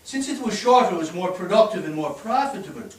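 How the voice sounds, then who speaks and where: Man as animated immigrant storyteller, high-voiced. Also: fast. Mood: reminiscing. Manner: animated storytelling, folksy asides. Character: chuckling Irish immigrant man recounting his boyhood. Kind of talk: staged play reading, reminiscence. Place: theatre stage. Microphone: distant microphone. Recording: distant, echoey stage pickup.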